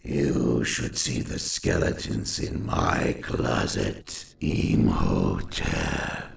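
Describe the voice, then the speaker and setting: A man playing a sardonic skeletal undead sorcerer in a video game, with a gravelly, theatrical delivery. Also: lively intonation, very slow, full character voice. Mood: sardonic, spooky. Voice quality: deep, gravelly, sepulchral. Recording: echoing game voice clip, distant.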